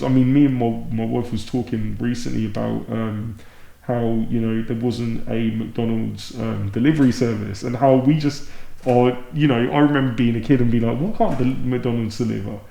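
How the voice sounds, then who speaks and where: English man, gravelly mellow voice, casual enthusiastic podcast host, roomy echoing sound. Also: medium pitch, fairly even intonation, normal speed, hardly any pauses. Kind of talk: casual chat.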